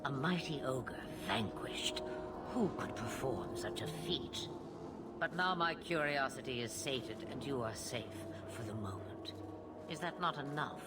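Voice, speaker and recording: Slow, swooping, sly old witch. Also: a bass voice, animated, over loud music. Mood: wry, taunting. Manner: slow, amused, teasing, with dramatic pauses. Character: ancient, cryptic, sly witch of the wilds. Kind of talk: theatrical fantasy game acting. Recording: loud background noise.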